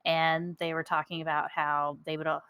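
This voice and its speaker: A woman's voice: sits low, level tone, normal speed, fairly bright tone, clear, resonant tone, no echo. A woman co-hosting a live stream, chatting casually about gaming news with a frustrated, wry tone. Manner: frustrated, wry, laughs while talking. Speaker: podcast co-host. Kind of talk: casual chat.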